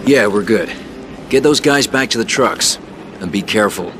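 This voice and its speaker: A man's voice: fairly low pitch, expressive, moderate pace, music in the background, natural voice. A man playing a determined resistance commander in a war video game, speaking in terse orders. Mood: commanding, focused. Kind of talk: video game cutscene acting.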